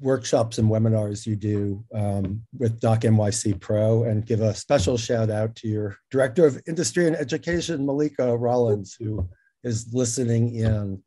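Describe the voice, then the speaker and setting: A man hosting an informal interview conversation, speaking a little haltingly as he thinks aloud. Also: on the low side, mellow. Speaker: host and interviewer. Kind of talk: informal moderated video conversation.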